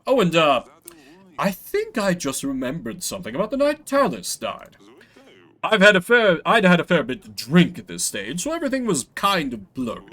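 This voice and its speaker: Man putting on a slick, animated scheming lord. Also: a little high, normal speed, heavily acted. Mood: conspiratorial. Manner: smooth-talking, persuasive salesman. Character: scheming noble lord pitching a shady drug trade.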